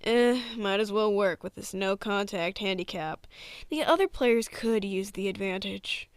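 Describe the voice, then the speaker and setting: A woman's voice: mid-range voice, a little slow, clear, resonant tone, dry recording, natural voice. A woman playing a brash, sarcastic athletic teenage witch girl in a cartoon comic dub, with a blunt, exasperated manner. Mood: snarky, irritated.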